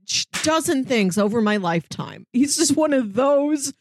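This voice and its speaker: A woman co-hosting a podcast, talking about a show in lively, expressive casual chat. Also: sits high, moderate pace, no room sound, studio quality. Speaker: podcast co-host.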